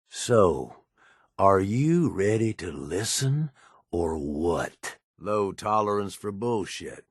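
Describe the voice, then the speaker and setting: Gruff, low-voiced American game raider. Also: male voice, no echo, clean studio sound, full character voice. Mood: blunt, insistent. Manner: blunt, folksy, profane, world-weary. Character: gruff, cynical middle-aged raider, plain-spoken and loyal to his boss. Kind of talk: video game companion dialogue.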